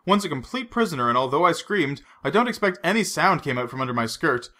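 A man's voice: fairly high pitch, big pitch swings, fast talker, pushed and bright, pitched above the performer's own voice. A man reading aloud and voicing a shaken, apologetic young woman with an earnest, well-spoken manner.

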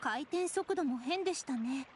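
A woman voicing a composed, courteous young female strategist in an anime game, speaking formally and gently. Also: high-pitched, lively intonation, moderate pace, very noisy. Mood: thoughtful. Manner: soft-spoken, courteous, formal. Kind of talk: Japanese anime game voice acting.

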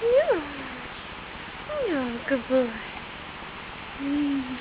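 A woman's voice: high-pitched, big pitch swings, extremely slow. A teenage girl vlogging in a horse stable, cooing to the horses in a whiny baby-talk voice, filmed on a handheld camera. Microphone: handheld camera close up. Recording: muffled lo-fi camera audio with loud noise.

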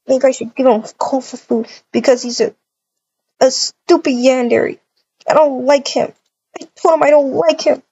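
A woman's voice: high voice, fairly even intonation, breathy edge. A woman doing a panicked, pleading captive girl. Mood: grumbling. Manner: panicked, pleading, fast and loud. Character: terrified young woman held captive. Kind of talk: amateur voiced roleplay. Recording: dull lo-fi recording.

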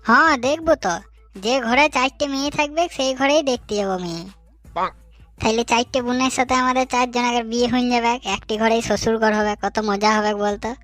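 A man's voice: very high-pitched, a little fast, fairly bright tone, dry recording, soft background music. A man voicing a bossy, teasing young village man in a comic cartoon, with a rural West Bengal accent. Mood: scheming.

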